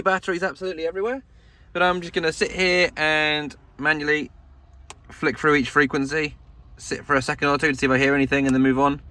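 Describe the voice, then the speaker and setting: A man's voice: a little high, fairly bright tone. A man with a British accent chatting casually to camera with wry, understated humour, as a radio hobbyist vlogger. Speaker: radio hobbyist vlog host. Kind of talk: casual vlog chat to camera. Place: inside a parked pickup truck.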